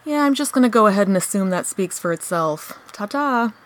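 A woman's voice: mid-range voice, lively intonation, fairly quick, dry recording, natural voice. A woman playing a sardonic, exasperated scheming show host in a comedic radio play. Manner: sarcastic, grumbling.

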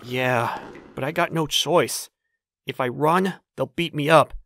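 An actor playing a friendly, frail young debt laborer, gentle and resigned, in an anime dub. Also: male voice, fairly high pitch, slow, no echo, clean studio sound. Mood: resigned, kindly.

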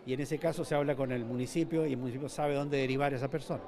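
A man's voice: medium pitch, steady tone, rapid-fire, crowd noise. An older man with a Chilean accent, a mall manager answering a reporter's questions in a news interview, often restarting and repeating words. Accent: Chilean Spanish. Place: indoor shopping mall corridor. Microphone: reporter's handheld mic.

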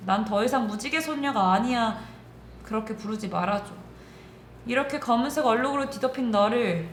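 A woman as a plaintive, high-voiced young girl. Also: a little hiss or hum. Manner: plaintive, emotional, exclaiming. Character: sad, self-doubting young rainbow girl, tearful and tender. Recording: roomy echo, music underneath.

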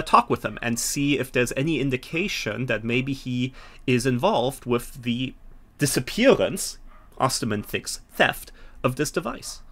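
A middle-aged man explaining and narrating a tabletop game as game master, phrasing things in a hesitant, measured way. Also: mid-range voice, a bit bright. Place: small home room, video call. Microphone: video call from a desk.

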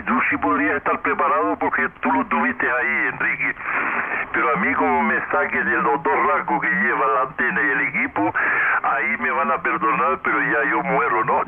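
Man, radio hobbyist, gravelly voice, effusive repetitive chat, thin crackly transmission. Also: medium pitch. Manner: effusive, repetitive, tag questions. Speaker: amateur radio operator. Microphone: radio microphone. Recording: crackly narrowband radio transmission, clear noise.

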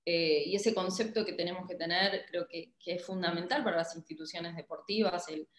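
A woman's voice: on the low side, fairly quick. Young Argentine woman, echoey room. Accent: Argentine Spanish. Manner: hesitant, many fillers and restarts. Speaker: club director, guest. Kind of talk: casual interview on a video call. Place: home office, indoors. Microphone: laptop webcam mic on a video call.

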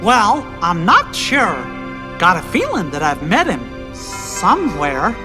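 An actor voicing a small, friendly, earnest male cartoon mouse in a squeaky falsetto for a video game. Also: huge pitch swings, slow pace, over music, exaggerated voice. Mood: gentle, musing.

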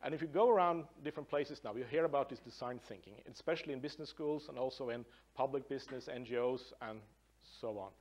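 Man, middle-aged, Norwegian accent, conference talk, mid pitch, casual with fillers, large room, distant mic. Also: quick pace, darkish tone. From Norway. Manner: loose and conversational, many fillers and restarts. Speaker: conference speaker, design professor. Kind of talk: conference presentation.

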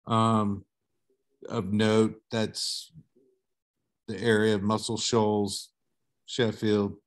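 A man's voice: fairly low pitch, little pitch movement, drawn-out words. Laid-back middle-aged man telling stories. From southern United States. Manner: laid-back, swears casually. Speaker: guest. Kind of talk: casual interview storytelling.

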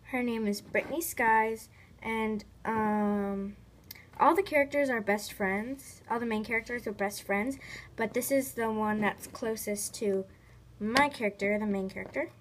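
A woman's voice: on the high side, a little slow, slight background noise. A woman narrating a casual vlog in a rambling, informal way that tends to trail off, recorded indoors on a handheld phone. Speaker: host of a toy video channel. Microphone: phone held near the speaker.

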